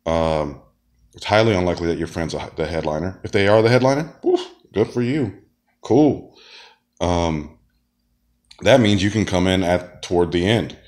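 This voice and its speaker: A man talks in a loose, rambling monologue, full of fillers and false starts. His voice sits very low because he is sick. Now and then he coughs between phrases. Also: expressive, normal speed, a little mellow. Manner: rambling, many fillers and restarts. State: sick, deep voice. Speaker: wrestler and comedian. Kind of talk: casual monologue.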